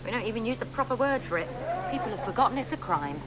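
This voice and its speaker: An actress playing an earnest, flattering publisher in a radio drama. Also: female voice, a little high, fast, background noise, lightly acted. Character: earnest female publisher, admiring and persuasive.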